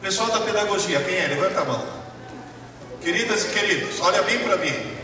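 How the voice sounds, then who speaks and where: A man's voice: high-pitched, animated, brisk. Emphatic Italian-accented man lecturing in a hall. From Italy. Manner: emphatic, rhetorical questions, addresses the audience directly. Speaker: professor giving a lecture. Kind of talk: public lecture to a live audience. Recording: echoey hall, distant mic, noisy.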